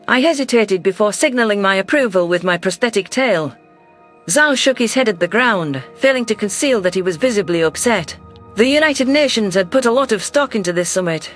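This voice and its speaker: A man narrating a science fiction audio story in an even, scripted delivery. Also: high-pitched.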